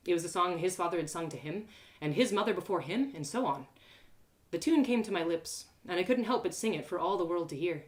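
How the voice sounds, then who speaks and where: A woman voicing an earnest young woman adventurer telling her own fantasy journey in a gentle, wistful, expressive way. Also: lowish voice, brisk, close to an everyday voice. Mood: hopeful, wistful. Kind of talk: first-person fantasy storybook narration.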